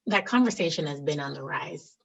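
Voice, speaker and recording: A woman's voice: deep voice, expressive, a little fast, creaky, fairly bright tone, muffled recording. A woman hosting a podcast, reading a sponsor message and asking her guest questions. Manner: reads sponsor copy, then asks questions. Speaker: podcast host.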